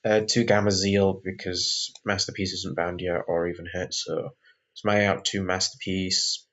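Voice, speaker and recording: A man's voice: deep voice, level tone, unhurried, fairly bright tone. A young man with a sore throat, sounding groggy, rambling through a casual deck walkthrough with lots of ums and uhs. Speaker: card game deck reviewer. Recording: dull lo-fi recording.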